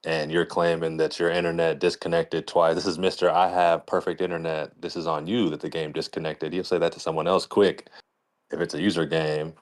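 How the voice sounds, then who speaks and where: Real low voiced guy on a podcast, league commish, loose slangy rambling talk. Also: little pitch movement, fairly quick. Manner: colloquial, discursive, frequent "you know". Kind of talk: casual podcast chat.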